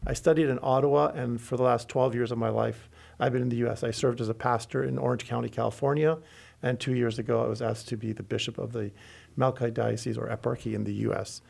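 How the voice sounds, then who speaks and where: A middle-aged man from Lebanon, a bishop, explaining church teaching in an interview with earnest, halting restarts. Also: medium pitch, steady tone, gravelly, darkish tone.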